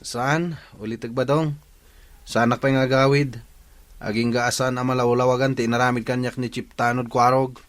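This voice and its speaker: A man's voice: medium pitch, little pitch movement, normal speed, natural voice. An actor with an Ilocano accent playing an aggrieved, plaintive male villager in a radio drama. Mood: hurt, resigned.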